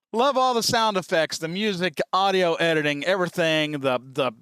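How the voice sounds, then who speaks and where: Man, reaction host, slightly high voice, informal rambling commentary, dry room, faint music. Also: a bit bright, clean tone, no echo.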